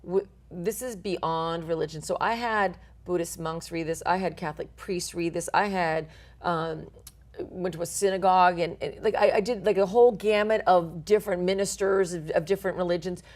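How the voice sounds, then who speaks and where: A middle-aged woman with an American accent, explaining self-help ideas as an interview guest in an animated, informal, emphatic way with playful asides. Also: lowish voice, moderate pace. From born in Portugal, grew up in the United States. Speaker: interview guest, author and life coach.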